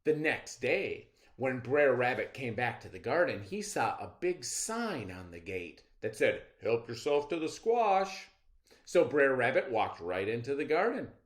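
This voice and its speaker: A man narrates a children's tale aloud. He is unhurried, with deliberate phrasing. His pitch rises and falls dramatically, very much a storyteller's expressive delivery.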